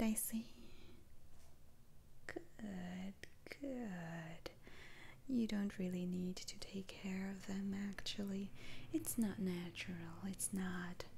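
Slow whispering witch doctor played by a woman. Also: mid-range voice, darkish tone, slight background noise. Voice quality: breathy whisper. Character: female witch doctor, soothing, faintly sly curse remover. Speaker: ASMR roleplay performer. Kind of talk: whispered ASMR roleplay.

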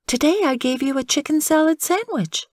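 A woman voicing a calm, caring mother badger in a storybook, patient and reassuring. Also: sits high, moderate pace, no echo, studio quality, voice pushed up. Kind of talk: storybook character voice.

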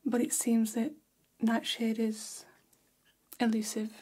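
A woman acts out a catty female vampire hairdresser for an ASMR roleplay. She whispers very breathily, slow and soft, with a mellow tone. She confides salon gossip up close in an even, hushed line. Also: a little high, breathy edge. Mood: hushed, conspiratorial. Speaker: ASMR performer. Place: small decorated room, indoors. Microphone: close ASMR microphone.

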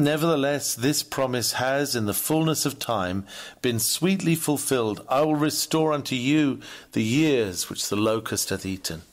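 A man reading a scripted sermon in an earnest, formal, oratorical manner. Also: medium pitch. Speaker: reader of a classic sermon.

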